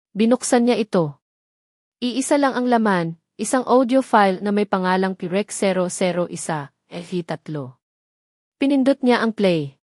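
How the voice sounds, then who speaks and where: A woman's voice: mid-range voice, a little mellow, dry recording, studio quality. A woman reading a scripted dramatic story as its narrator.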